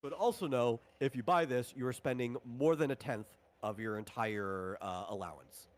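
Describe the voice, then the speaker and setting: A man's voice: medium pitch, normal speed. Man explaining tabletop game rules. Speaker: game master.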